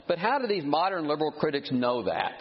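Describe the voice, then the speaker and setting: A man preaching a sermon, emphatic and animated, scornful of his critics, with frequent restarts. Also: a little high, fairly quick. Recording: muffled sound with long hall reverb.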